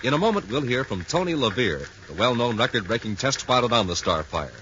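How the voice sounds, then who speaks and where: A man's voice: medium pitch, very animated, a little fast, bright, forceful tone. An American man announcing and narrating in an emphatic, punchy 1950s radio style. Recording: muffled, faintly noisy 1950s radio recording.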